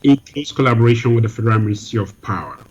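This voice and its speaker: Guest interviewee from Nigeria and Germany: measured, explanatory, husky, muffled recording. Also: male voice, medium pitch. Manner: measured, explanatory, frequent ums. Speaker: guest, energy program head. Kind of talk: interview answers.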